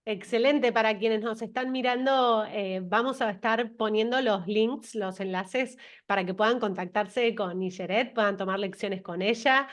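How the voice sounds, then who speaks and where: A woman's voice: mid-range voice, normal speed, few pauses. A woman hosting an interview over a video call, affirming and encouraging her guest. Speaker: interview host.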